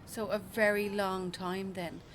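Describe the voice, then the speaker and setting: A woman acting a radio news presenter in a radio play, formal, measured and politely inquisitive. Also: medium pitch, little pitch movement, slight background noise, close to an everyday voice. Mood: polite, probing. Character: polished, probing female radio news presenter.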